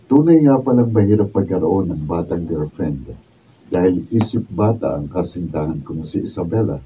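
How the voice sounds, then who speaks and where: A man's voice: sits low, animated, moderate pace. A man playing a patient, joking young Filipino boyfriend in a radio drama. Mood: reflective. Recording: dull lo-fi, distant mic, faint noise.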